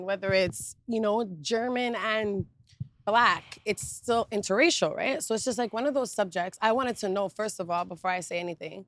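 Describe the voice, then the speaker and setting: A woman's voice: mid-range voice, no echo. A woman from Canada, a chatty panel guest with many fillers, in a casual group discussion.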